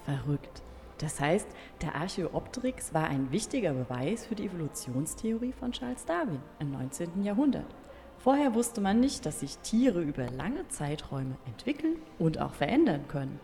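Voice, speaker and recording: A woman's voice: lowish voice, sing-song, normal speed, warm tone. A woman hosting a book podcast for young listeners, presenting in a conversational style. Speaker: podcast host. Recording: long reverb, music behind.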